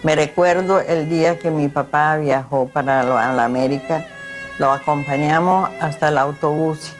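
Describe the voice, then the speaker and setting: A man's voice: a little high, steady tone, normal speed, music in the background. An older man interviewed for a documentary, reminiscing about childhood.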